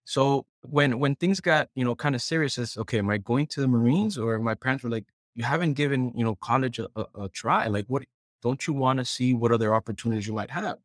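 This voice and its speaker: A man's voice: mid-range voice, a little fast, dry recording. Male interview guest from Puerto Rico, chef. Casual storyteller who quotes dialogue as he recounts, close dry sound. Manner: conversational, quotes himself and others while recounting. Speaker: guest, chef and culinary director.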